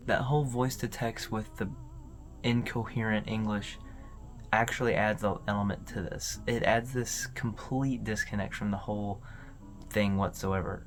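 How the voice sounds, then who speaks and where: A man with a fairly low voice hosts an informal video commentary. He talks casually and haltingly, rambling as he works through his thoughts. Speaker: video host.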